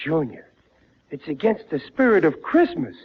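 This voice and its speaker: A man's voice: on the high side, wildly swooping pitch, moderate pace, a little hiss or hum. An actor playing a good-natured, blustering middle-aged working man with folksy, ungrammatical speech in a dull vintage 1940s radio sitcom recording. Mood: preachy.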